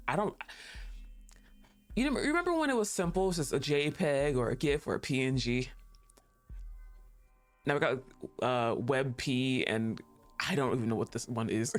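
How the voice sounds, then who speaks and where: A laid-back streamer chatting casually on a livestream, a little tired, rambling and laughing often. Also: mid-range voice.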